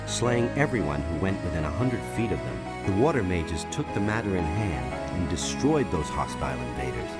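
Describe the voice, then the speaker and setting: An actor playing a wry, seasoned rogue who talks in a familiar, explanatory way in a video game. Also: male voice, low-pitched. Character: wry, seasoned rogue, old comrade and guide.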